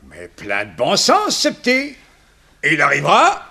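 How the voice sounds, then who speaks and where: An actor playing a pompous, slow-witted police inspector who blusters and gets flustered in a 1960s French radio crime drama. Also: male voice, on the high side, huge pitch swings, gravelly, sharp tone, full character voice. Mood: self-important.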